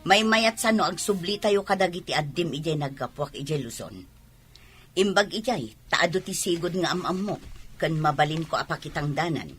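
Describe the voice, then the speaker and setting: A woman with a Filipino accent acting a curt, nagging mother from a poor mountain family in a radio drama, with a husky voice. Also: deep voice, normal speed, fairly bright tone. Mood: matter-of-fact.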